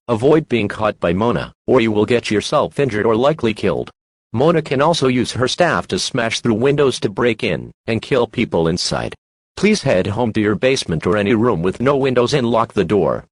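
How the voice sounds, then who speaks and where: A man's voice: medium pitch, slightly rough, dry recording. A man narrating a scripted emergency warning as an alert announcer.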